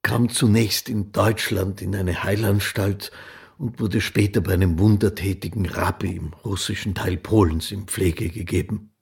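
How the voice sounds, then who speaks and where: An actor playing a sober biographical chronicler, narrating with deliberate phrasing in a radio play. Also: male voice, low voice, fairly even intonation, vocal fry, husky, heavily acted. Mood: sober, measured.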